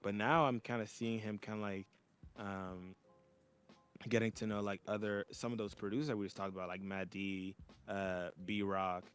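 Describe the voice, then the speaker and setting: A man from Montreal talks through a casual DJ chat on a video call, relaxed and rambling, full of little fillers. His voice sits low. Faint music plays underneath. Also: moderate pace, clean tone. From Montreal, Canada. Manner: laid-back, rambling, many fillers. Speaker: DJ and podcast co-host. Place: home, child playing nearby.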